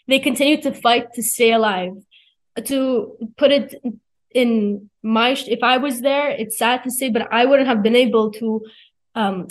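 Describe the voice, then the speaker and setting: A young woman in her late teens, a student giving informal, plain-spoken answers in an interview. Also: on the high side. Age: teens, high school senior.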